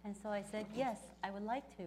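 Woman, church interpreter, halting phrase-by-phrase delivery, medium pitch, faint background hum. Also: fairly even intonation, a little mellow, poor audio. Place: church service.

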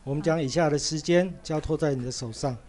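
A man's voice: fairly high pitch, quick pace, a little mellow. A man leading a church service, praying fervently and then introducing the next speaker informally. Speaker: service leader.

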